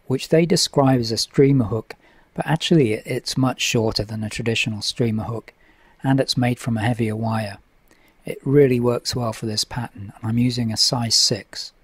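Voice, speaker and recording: A man's voice: medium pitch, breathy edge, dry recording. Middle-aged male fly-tying instructor, methodical and easygoing, dry close-mic sound. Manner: relaxed, methodical, with frequent uh fillers. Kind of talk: instructional voice-over. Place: home tying desk.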